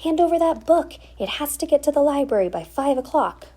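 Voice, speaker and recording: A woman's voice: high voice, expressive, fairly quick, warm tone, pitched above the performer's own voice. A woman voicing a weary but helpful scout leader in a children's storybook. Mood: urgent.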